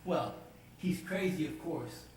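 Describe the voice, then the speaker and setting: A stern, weary father, firm and protective in an old radio play. His voice sits a little high for a man. The recording rings with heavy room echo and is taken from a distance. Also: expressive, slow pace, a little mellow, a little hiss or hum. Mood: grave.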